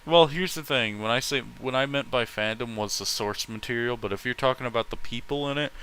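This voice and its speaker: A man's voice: mid-range voice, brisk, bright, forceful tone, clean tone. A man chatting casually on a livestream, ranting bluntly and swearing freely as an opinionated host. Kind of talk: casual rambling livestream chat with friends.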